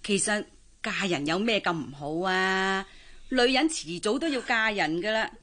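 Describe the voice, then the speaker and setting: Pushy old-fashioned hong kong cantonese auntie, radio drama, coaxing n sighing, middle pitch. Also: female voice, expressive, unhurried, sharp tone. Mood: scandalised insisting. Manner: coaxing, exclaiming, sighing interjections. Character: pushy, traditional older auntie urging a girl to marry.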